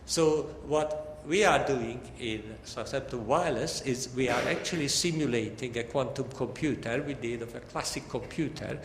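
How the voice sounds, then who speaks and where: An older man giving a technical academic lecture in a halting, deliberate way. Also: medium pitch. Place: large lecture hall. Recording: echoey hall with long reverb, background noise.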